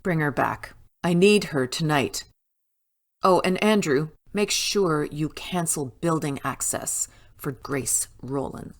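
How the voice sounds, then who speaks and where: An actress playing a cold, imperious corporate boss in an audio drama, curt and commanding with weary contempt. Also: female voice, deep voice, animated, slow pace, exaggerated voice.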